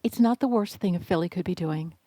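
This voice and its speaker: A woman plays a patient, gently firm mother pony in an audio drama. Her voice is dark and relaxed at a middle pitch. The sound is close and dry. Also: a little fast, no room sound, natural voice.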